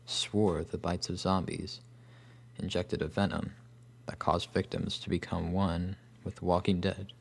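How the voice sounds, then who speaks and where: An actor playing a solemn, bookish loner recalling his boyhood, narrating a scripted horror story with an American accent and grave, measured phrasing. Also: deep voice, creaky, slightly rough, exaggerated voice. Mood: sombre. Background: guitar music.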